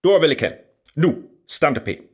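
An impatient old scholar in a radio play, grumbling in a husky, high voice that rises and falls widely. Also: male voice, animated, unhurried. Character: weary old male scholar craving youth and fun. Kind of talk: rhymed radio play acting.

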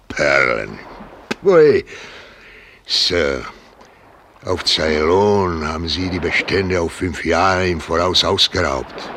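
A gruff old-school merchant sea captain grumbles and blusters in a very low, forceful voice. He is irritable and given to cursing, in radio drama style, with some boat and water noise around him. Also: exaggerated voice. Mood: scornful grumbling.

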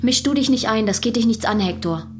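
Cold, hostile mother, acted by a woman, higher-pitched. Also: lively intonation, brisk, soft background music, natural voice. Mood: curt, hostile. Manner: curt, cutting, accusatory. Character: cold, hostile, unforgiving young mother in a marital feud. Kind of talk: German radio drama acting.

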